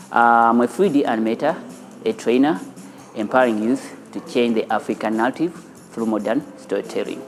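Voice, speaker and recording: A man's voice: fairly low pitch, darkish tone. A middle-aged man with a Ugandan accent explains his work as a studio founder in a documentary interview. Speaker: animation studio founder and trainer. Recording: reverberant room, music underneath. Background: background music.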